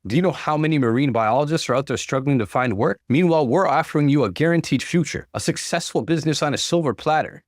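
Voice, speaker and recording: A man voicing a stern, insistent father in a story, speaking with forceful authority. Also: fairly high pitch, fast talker, hardly any pauses, dry recording, clean studio sound, voice pushed up, lightly acted. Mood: angry, insistent. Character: stern, insistent father pressuring his grown son.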